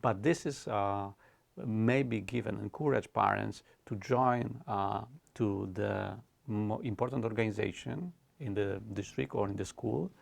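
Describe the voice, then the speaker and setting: A man interviewing a political candidate, asking questions in halting phrases while he searches for words. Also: on the low side, creaky.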